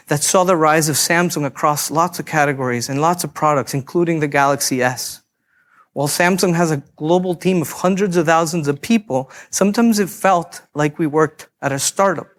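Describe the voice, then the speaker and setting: A man from Guatemala in his thirties giving an earnest, measured keynote talk on a conference stage. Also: on the high side. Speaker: keynote speaker. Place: conference stage before an audience.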